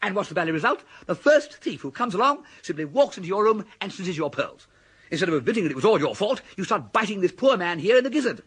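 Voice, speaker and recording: Radio comedy: upper-class English young fop, quick, lively, slightly husky, self-righteous blither. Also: male voice, high-pitched, exaggerated voice. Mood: indignant. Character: foppish, cheerfully indignant upper-class young English gentleman. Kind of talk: broadcast radio comedy acting.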